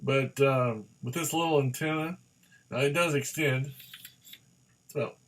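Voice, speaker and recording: A man reviewing a product in a casual, hands-on way, halting and rambling as he goes and often repeating himself. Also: medium pitch, fairly bright tone.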